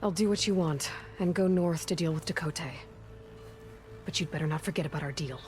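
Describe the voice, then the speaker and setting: A woman's voice: sits low, a little fast, darkish tone, faint music behind, natural voice. A young woman playing a self-assured, wary warrior heroine in a video game, direct and dry in delivery. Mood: firm, dry. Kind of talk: naturalistic video game acting.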